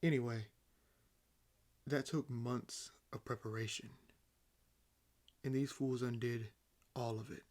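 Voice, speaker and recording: Ancient male vampire, acted by a man, unhurried and even-toned, nonchalant, condescendingly amused, for roleplay audio. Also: medium pitch, close to an everyday voice. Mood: wry, resigned. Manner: unhurried, wry, theatrical pauses. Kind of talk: roleplay audio acting, addressing the listener.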